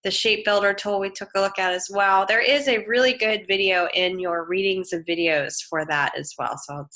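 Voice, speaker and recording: A woman's voice: mid-range voice, steady tone, moderate pace, bright, forceful tone. A woman teaching an online design lecture while sick with a head cold, sounding nasal and sniffly, chatting casually with fillers and restarts. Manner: casual, chatty, with frequent fillers and self-corrections. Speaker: design instructor. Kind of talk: live online lecture with screen demo.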